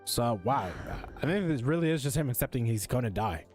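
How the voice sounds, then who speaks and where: A quick-talking man with a mid-pitched voice gives casual playthrough commentary. He muses aloud informally as a streamer, with faint music behind him. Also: expressive, a little mellow. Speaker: game streamer.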